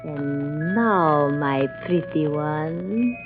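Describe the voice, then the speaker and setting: An actress playing a deadly exotic villainess who speaks with mock politeness and chant-like menace in 1940s radio style. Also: female voice, low voice, animated, over music, heavily acted. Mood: menacing, hypnotic. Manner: slow, incantatory, mock-polite. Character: exotic villainess, cobra charmer, silky and deadly. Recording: tinny, noisy old radio recording.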